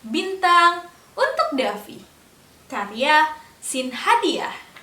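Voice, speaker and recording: A young woman telling a picture-book story to small children, in a slow sing-song way, stopping to ask them questions. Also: sits high, big pitch swings, distant mic, very noisy. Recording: echoey room, a bit off-mic.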